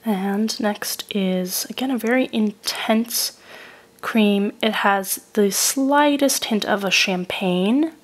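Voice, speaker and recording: A woman narrates a makeup review while still getting over a cold. She works through each shade in a steady, methodical way. Her tone is descriptive and even. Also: mid-range voice, takes it slowly. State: recovering from a cold. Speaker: beauty reviewer.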